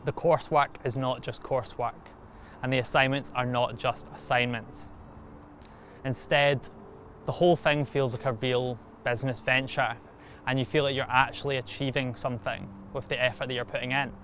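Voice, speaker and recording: A man's voice: mid-range voice, steady tone, moderate pace, a bit bright, a little hiss or hum. A young man, a university student, giving a prepared testimonial.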